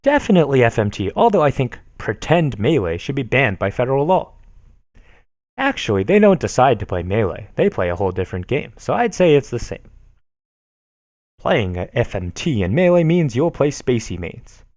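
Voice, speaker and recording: A man's voice: medium pitch, big pitch swings, moderate pace, darkish tone, no echo, clean studio sound. A man casually reading forum posts aloud on a stream, sarcastic and amused, often laughing at what he reads.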